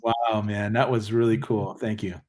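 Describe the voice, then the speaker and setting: A man's voice: fairly low pitch, level tone, moderate pace, crowd noise. A man hosting a casual livestream, reacting with easygoing enthusiasm and reading out viewer comments. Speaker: livestream host.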